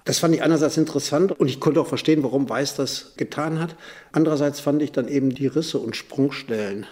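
A man's voice: on the high side, fairly quick, darkish tone. A man speaking as an interviewed writer, reflective and analytical in his commentary.

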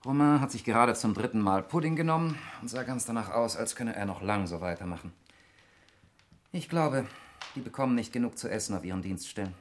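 An actor playing an irritable, suspicious lawyer in a 1960s German radio murder mystery, speaking in a precise, argumentative way. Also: male voice, mid-range voice, expressive, a little fast, close to an everyday voice. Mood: suspicious, scornful. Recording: noisy 1960s radio recording with clicks.

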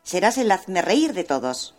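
Man doing a strained, high female critter over faint music. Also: very animated, moderate pace. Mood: scornful. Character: female creature: beetle, then wounded swallow.

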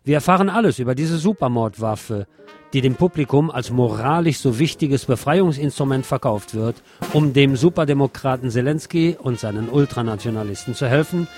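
A man's voice: mid-range voice, animated, moderate pace, a little mellow. A middle-aged man reading a scripted satirical radio column with ironic, theatrical emphasis. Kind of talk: scripted satirical commentary and literary reportage. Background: music behind the voice.

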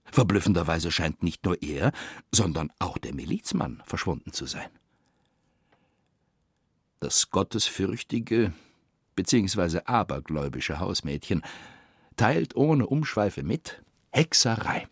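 Radio drama storyteller: wry, husky bass, lively delivery, close dry studio sound. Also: male voice, measured pace, pauses often, no room sound, heavily acted. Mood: wry storytelling. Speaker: actor reading narration and letters.

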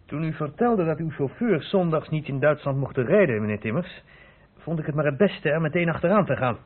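Formal police inspector, thin old recording. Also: male voice, fairly high pitch, animated, fairly quick, phone-quality sound. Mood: courteous. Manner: formal, inquisitive. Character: brisk, courteous male police inspector. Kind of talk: radio play acting. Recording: thin old radio recording, faint noise.

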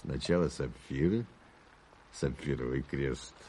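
A genial, wry friend telling tales in a radio drama. The voice is deep, dark and husky, and he takes his time, savouring each word. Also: slow, exaggerated voice. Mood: amused, reminiscing. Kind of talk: Soviet radio play acting.

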